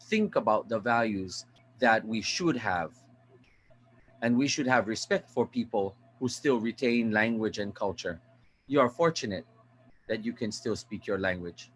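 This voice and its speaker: A man's voice: lowish voice, animated, unhurried, slight background noise. A man on a panel discussion, speaking in an impassioned way and appealing directly to listeners.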